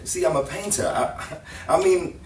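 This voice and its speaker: A man's voice: a little high, expressive, a little slow. A man playing a flustered, eager-to-please painter in a 1950s-style radio romance, stammering and apologetic. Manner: stammering, apologetic, nervously chuckling. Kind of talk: 1950s-style live radio drama acting. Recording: roomy, distant mic, faint noise, audience.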